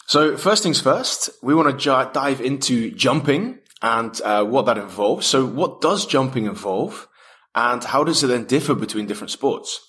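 A man's voice: mid-range voice, lively intonation, normal speed, fairly bright tone. A man hosting a sports science podcast, introducing his guest with enthusiasm and asking questions. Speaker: podcast host. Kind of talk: podcast interview.

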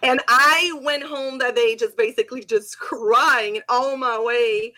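A woman's voice: on the high side, fairly even intonation, measured pace, bright, forceful tone. A woman whose first language is Portuguese, a podcast guest and Hebrew teacher, telling stories animatedly in casual conversation.

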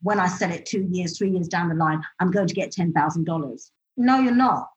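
A woman in a relaxed interview, telling stories animatedly and acting out what people said. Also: on the low side, a little fast. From United Kingdom. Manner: animated storyteller, acts out quoted speech. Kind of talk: casual conversational interview. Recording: slightly dull lo-fi audio.

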